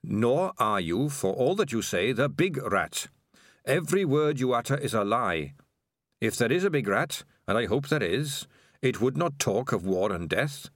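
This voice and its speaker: A small, brave blind rat, male and earnest, as a man reads him in an audiobook. He speaks calmly and gently, very slowly, with a lively rise and fall. The sound is dry and close. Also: medium pitch, no echo. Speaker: audiobook reader. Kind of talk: audiobook character voice.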